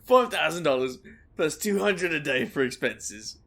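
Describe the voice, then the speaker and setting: An actor playing a wry, reckless male paranormal investigator who tells his own tale in an audio drama, with a gravelly, sardonic delivery. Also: a little high, very animated, moderate pace, a bit bright, exaggerated voice. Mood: flippant. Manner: wisecracking, casual, sardonic. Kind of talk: audio drama acting with noir-like first-person narration.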